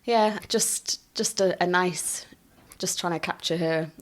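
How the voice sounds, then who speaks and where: A middle-aged woman, a photographer, chatting in her home as an interview guest, warm and giggly with hesitant restarts. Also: on the low side, takes it slowly. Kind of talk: casual interview.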